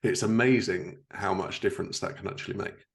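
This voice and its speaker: Lower-voiced man hosting a casual interview. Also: fairly even intonation, brisk, vocal fry. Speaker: podcast host, interviewer.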